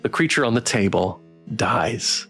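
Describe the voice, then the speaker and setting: A man streaming a visual novel, reading it aloud dramatically and adding playful, expressive commentary. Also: on the low side, measured pace, slightly rough, faint music behind.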